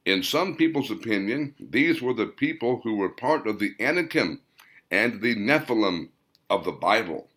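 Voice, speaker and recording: A man's voice: fairly low pitch, animated, fairly bright tone. A man voicing a scholarly man who earnestly lectures on ancient languages and lost places. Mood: lecturing. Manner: earnest, explanatory, measured.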